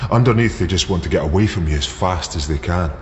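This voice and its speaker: Bitter scottish ex army guy, low voice, overly chummy and a bit creepy, big echo, muffled radio play. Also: animated, quick pace. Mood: bitter, guarded. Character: bitter disfigured ex-soldier, paranoid, chummy yet unsettling. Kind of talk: naturalistic radio drama acting. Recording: dull sound, long reverb, noisy background.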